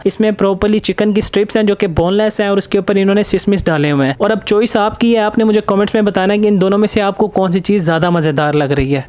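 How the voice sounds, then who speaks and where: Upbeat high-voiced man. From Pakistan. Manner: upbeat, mixes English words into speech. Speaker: food vlogger. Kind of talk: casual food review walkthrough.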